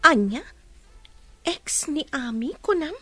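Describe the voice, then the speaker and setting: A curious young nurse in a radio drama. Her voice is high and closely recorded with little echo, carrying an Ilocano accent. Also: animated, takes it slowly, a bit bright, faint music behind, exaggerated voice. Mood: surprised. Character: young woman nurse, curious and questioning friend.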